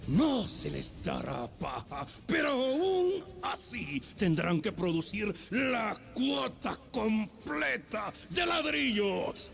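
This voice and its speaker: A cruel Egyptian king in a Bible audio drama. The voice is high and creaky, swinging wildly as it shouts in slow, theatrical scorn. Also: sing-song, faint music behind, heavily acted. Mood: scornful, furious. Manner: theatrical, shouting, swooping delivery.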